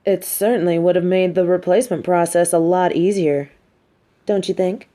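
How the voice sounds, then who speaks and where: A woman playing a sardonic, scheming supervillain in a scripted audio roleplay, with a theatrical, measured, wry delivery and grudging sympathy. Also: on the low side, mellow, natural voice. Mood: probing, intrigued. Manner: theatrical, measured, wry, drops into muttered asides.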